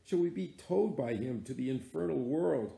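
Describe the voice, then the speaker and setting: A devout first mate pleading with his captain in earnest, archaic speech, pitched a bit higher than the reader's own voice, as a man puts him on. The tone stays gravelly and dark, heard in an echoey room. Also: expressive, normal speed.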